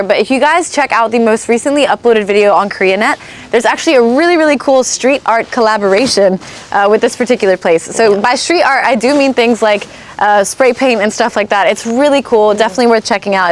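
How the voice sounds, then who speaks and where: A woman's voice: on the high side, fast. A young woman from North Carolina hosting a casual travel livestream outdoors, chatty and giggly with lots of fillers. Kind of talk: casual live chat while touring. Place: outdoors at a historic fortress park. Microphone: clip-on mic.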